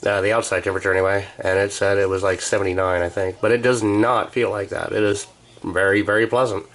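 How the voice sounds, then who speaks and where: American man with a creaky voice, chatting. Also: fairly low pitch, flat delivery, fast, fairly bright tone, rough recording. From United States. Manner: wry, joking, sarcastic. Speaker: vlogger. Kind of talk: rambling casual chat.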